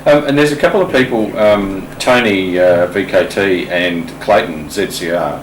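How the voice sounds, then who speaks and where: A man explaining things informally and haltingly, repeating words, in an echoey room away from the mic. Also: low voice. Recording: echoey room, distant mic, faint noise.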